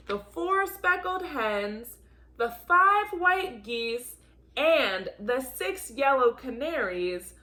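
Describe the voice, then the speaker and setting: A woman with a very high, bright voice reads a children's story aloud. She is highly animated and theatrical, swinging widely in pitch. Her delivery is unhurried, with key words drawn out for emphasis. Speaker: volunteer storybook reader.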